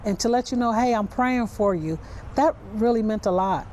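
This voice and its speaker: A woman's voice: fairly high pitch, moderate pace, a little mellow, slight background noise. A woman with an American accent giving informal, conversational interview answers.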